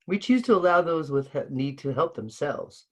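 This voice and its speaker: Guy doing wise old storybook tree, gentle and earnest, a bit up in pitch from his narrating. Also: big pitch swings, moderate pace. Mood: earnest pleading. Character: ancient, gentle, wise talking trees of the forest. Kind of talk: storybook read-aloud.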